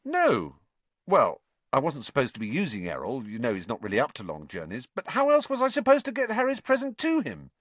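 A man voicing an excitable, blunt teenage schoolboy wizard in a storybook reading, with eager, dramatic delivery. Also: medium pitch, normal speed, no room sound, pitched above the performer's own voice. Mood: defensive.

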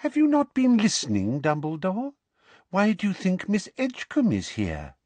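A man voicing a simpering, falsely sweet middle-aged female official in a high, coaxing tone for an audiobook. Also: wildly swooping pitch, normal speed, dark tone, dry recording, exaggerated voice. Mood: sugary.

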